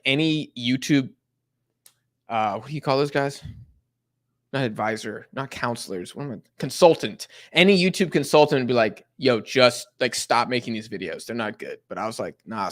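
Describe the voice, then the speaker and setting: A man's voice: medium pitch, clear, resonant tone. A young man hosting a casual football watch-along live stream, reading chat messages and rambling in slang with lots of fillers. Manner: slangy and rambling, lots of fillers, sudden excited outbursts at the match. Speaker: live stream host reacting to a football match.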